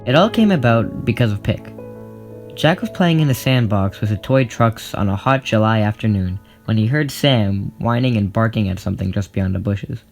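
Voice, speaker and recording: A man's voice: on the low side, moderate pace, vocal fry. A man reading scripted story narration in an audio drama. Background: plucked guitar music.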